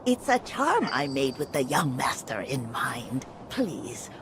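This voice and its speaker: A woman voicing a frail, senile old mother who speaks softly and dotingly, in game audio with ambient noise. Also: rumbling low, sing-song, slow, exaggerated voice. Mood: doting, confused. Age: older. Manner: soft, wandering, doting.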